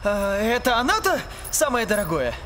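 An actor playing a cheerful, cocky young pirate captain in an animated film, flippant and bragging, with music behind. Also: male voice, high-pitched, normal speed, a little hiss or hum. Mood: cocky, joking.

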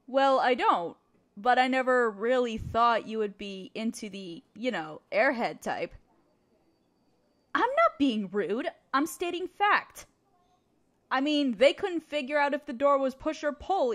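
A woman voice-acting a guarded female barista in an audio roleplay, jealous but resigned. Her voice is high and swings widely in pitch. She speaks in halting, defensive, emotional phrases. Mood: curt, jealous. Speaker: amateur audio roleplay voice performer. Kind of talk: audio roleplay acting, one side of a dialogue.

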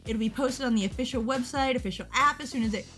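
A young woman from the United States vlogging about a model kit event, excitable and rambling, interrupting herself and laughing, a little tired. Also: on the high side, fast talker. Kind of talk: casual chat over a webpage.

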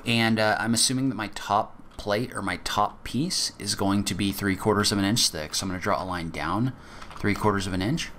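A man teaching a software tutorial, explaining each step methodically in a casual way with occasional fillers. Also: lowish voice, brisk, a little hiss or hum. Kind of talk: screen-recorded software walkthrough.

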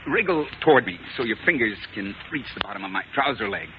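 A man's voice: on the low side, animated, fast, close to an everyday voice. A narrow, faintly noisy old radio recording carries a male actor as an American space colonel. He is firm and resourceful, giving clipped orders in classic serial style. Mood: brisk, determined. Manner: clipped, commanding, urgent. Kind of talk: 1950s radio serial acting.

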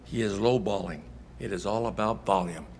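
A commanding game sheriff with a low, creaky voice. He says little, and says it with terse authority. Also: moderate pace, a little mellow, a little hiss or hum. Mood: cool. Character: laconic, commanding male sheriff in cowboy hat. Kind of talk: cinematic game dialogue.

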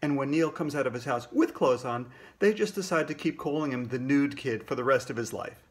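A man's voice: medium pitch, brisk. A man in his sixties, a children's author, casually explaining trivia to kids.

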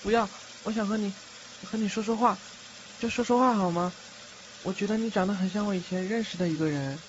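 A man's voice: high voice, fairly quick, slight background noise, close to an everyday voice. A man playing the timid, lonely ghost of a young male student in an audio drama, hesitant and pleading. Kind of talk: Chinese audio drama acting. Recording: dull lo-fi audio, loud music.

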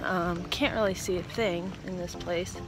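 A woman's voice: lowish voice, fairly even intonation, a little slow, noisy background. A woman in her twenties chatting casually into a phone camera at arm's length while out hiking, upbeat and filler-heavy. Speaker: thru-hiker vlogger. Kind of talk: casual trail vlog. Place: outdoors by a road in green woods. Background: background music.

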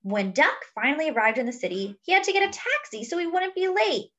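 A woman reading a children's picture book aloud expressively, pausing to explain words and pictures as if to young children. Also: high-pitched, lively intonation, fairly bright tone, highs cut off. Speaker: host of a children's read-aloud.

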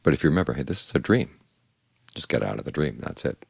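Very deep gravelly older monk. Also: male voice, no room sound, clean studio sound. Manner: calm, measured, plain-spoken teaching. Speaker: Buddhist monk giving a Dhamma talk. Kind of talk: evening meditation talk.

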